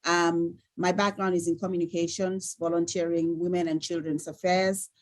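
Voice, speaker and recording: A woman from Sierra Leone with a Sierra Leonean accent, giving a measured, articulate self-introduction as an online workshop participant. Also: on the low side, flat delivery. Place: online video call.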